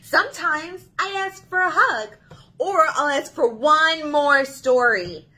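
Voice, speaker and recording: Lady doing a whiny melodramatic storybook pigeon, high and loud, big swoops, super bright. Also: animated, full character voice. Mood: pleading, indignant. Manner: dramatic, exclaiming, drawn-out complaints. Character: indignant, whiny, melodramatic pigeon, picture-book character.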